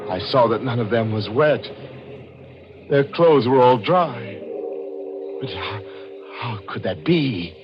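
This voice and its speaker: An actor playing a bewildered, cornered murder suspect who insists and pleads in agitated 1940s radio style. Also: male voice, mid-range voice, lively intonation, takes it slowly, long pauses. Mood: clammy dread. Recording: dull 1940s radio recording with music.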